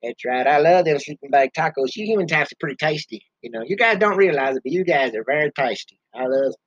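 Man voicing a bear podcast host: rambling, ungrammatical, angry ranter; somewhat high, dull lo-fi sound. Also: expressive, quick pace, breathy edge, dry recording. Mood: ranting. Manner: rambling hick grammar, angry ranting. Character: gruff grumbling male bear podcaster, ranting.

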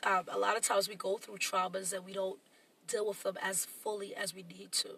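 Congested nasal woman, gravelly and husky. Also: mid-range voice, flat delivery, normal speed, bright, forceful tone, recorded from a distance, rough recording. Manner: reflective, explains at length. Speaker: podcast co-host. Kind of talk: casual chat.

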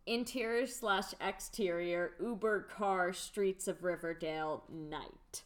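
A woman's voice: lowish voice, slow. A woman reading scripted stage directions as the narrator of a radio play.

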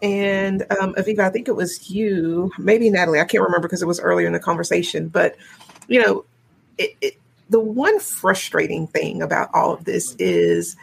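A woman educator in a podcast panel discussion, phrasing her thoughts deliberately and reflectively. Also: on the low side, creaky, husky.

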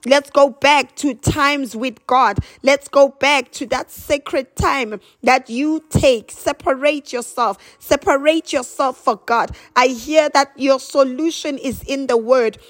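A woman's voice: sits high, slow, hardly any pauses. A woman preacher delivering a charismatic prayer sermon, exhorting with repeated emphatic phrases and bursts of chanted glossolalia.

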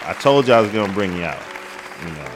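Man, low voice, laid-back and slangy, podcast host, faint music underneath. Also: big pitch swings, quick pace, crowd noise, poor audio. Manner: laid-back, slangy, hyping up his guest. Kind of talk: casual interview chat.

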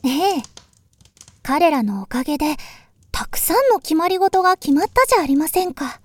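A woman playing a polite, earnest younger sister in a voice drama, speaking formally and gravely. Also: sits high, sing-song, dry recording. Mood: earnest, solemn. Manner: formal, deferential, measured. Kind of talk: Japanese voice drama acting.

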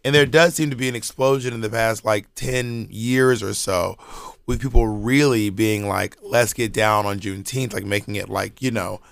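A man's voice: on the low side, fairly even intonation, moderate pace, vocal fry, smooth. A man, chatting casually on a podcast and telling loose, rambling stories with slang. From Georgia, US. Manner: loose, rambling storytelling with frequent restarts and slang. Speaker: podcast co-host.

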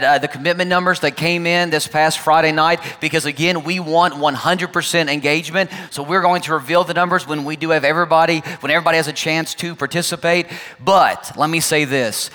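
A male pastor with a hoarse, laryngitis-strained voice, giving chatty, upbeat welcome announcements to his congregation and online viewers. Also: a little high, sharp tone. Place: large church sanctuary with congregation. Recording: long church reverb, faint music.

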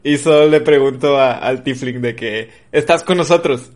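A man's voice: mid-range voice, moderate pace, darkish tone. A man playing a tabletop game, talking casually and playfully, often laughing. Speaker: player. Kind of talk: casual play chat.

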